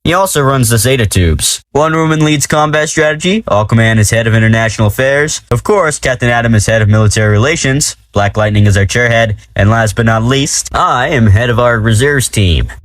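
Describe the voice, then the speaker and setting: A man's voice: medium pitch, a little fast, few pauses, no echo, studio quality, close to an everyday voice. A man playing a cocky, self-important male superhero who explains things breezily and jokes, in an audio drama. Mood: breezy, boastful. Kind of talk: audio drama character acting.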